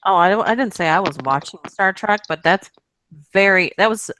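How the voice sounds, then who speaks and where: A woman's voice: medium pitch, normal speed, a bit bright, a little hiss or hum, poor audio. A woman chatting as a guest on a stream, earnest and thoughtful. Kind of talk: casual group chat on a stream.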